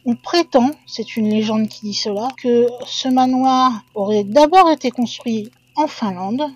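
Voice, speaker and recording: Chatty woman with a husky voice. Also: on the high side, a little slow, dry recording, poor audio. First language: French. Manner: chatty, hedging asides to viewers. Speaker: channel host. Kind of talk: casual storytelling narration.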